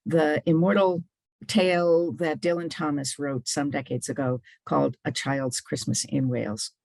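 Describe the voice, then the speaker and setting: A woman minister giving a relaxed, casual welcome, halting now and then. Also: low-pitched, gravelly, dry recording. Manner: relaxed, halting with small pauses.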